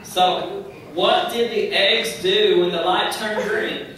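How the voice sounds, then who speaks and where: Man doing a goofy, bright surfer dude puppet. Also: high-pitched, takes it slowly. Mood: goofy, joking. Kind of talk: children's church puppet show comedy. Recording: very echoey hall, distant mic, noisy.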